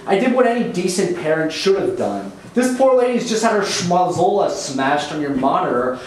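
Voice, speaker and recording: A man playing a crude, sneering middle-aged fat passenger who talks bluntly, in a very echoey room. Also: on the high side. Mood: scornful. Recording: very echoey room, faint hiss.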